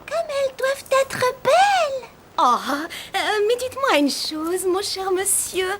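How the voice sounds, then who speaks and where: A fussy, scheming cartoon mother eager to marry off her daughters. The voice is piercingly high and swoops with theatrical, effusive delight. A little noise sits behind it. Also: very animated, unhurried, heavily acted. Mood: awed, flattering. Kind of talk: animated cartoon voice acting.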